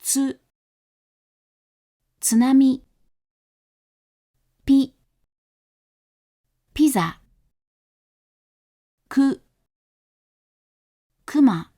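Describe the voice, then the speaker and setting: A woman saying single example words one at a time, slowly and distinctly, for a pronunciation lesson. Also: high voice, expressive, mellow. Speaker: native speaker giving example words. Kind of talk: slow, careful word pronunciation examples.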